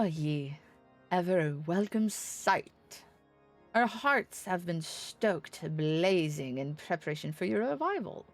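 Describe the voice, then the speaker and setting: A woman's voice: fairly low pitch, exaggerated voice. A woman voicing a gracious, welcoming knight lord from a fantasy game, speaking in a courtly, florid way.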